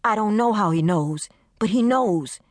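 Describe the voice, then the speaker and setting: A woman voicing a panicked young woman who pleads and demands in a frantic, shrill voice. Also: lively intonation, measured pace, dry recording, studio quality, natural voice. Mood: frantic pleading.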